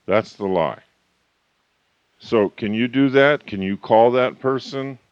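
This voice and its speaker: A man in his fifties or older giving a motivational talk as a coach and author, emphatic and slowing down hard on key points. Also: medium pitch, dry recording. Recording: slightly muffled, dry recording.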